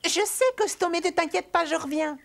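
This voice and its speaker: A woman's voice: very high voice, steady tone, brisk, vocal fry. An actress voicing an eager, cheeky little boy dwarf in a children's cartoon. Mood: excited. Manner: childlike, outspoken.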